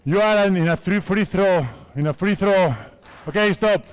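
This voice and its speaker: A man's voice: sits high, normal speed, smooth. A man from Spain in his fifties, a native Spanish speaker coaching players on court in a large indoor sports hall, giving short instructive commands. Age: 50s. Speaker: basketball coach. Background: basketballs bouncing.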